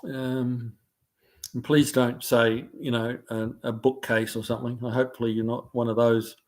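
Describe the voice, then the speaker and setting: Kiwi guy hosting a live stream, new zealand accent gone a bit soft, reads out chat comments and just yaks back. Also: mid-range voice, level tone, normal speed. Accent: New Zealand, softened by years in the US. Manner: reads viewer comments aloud and answers them chattily.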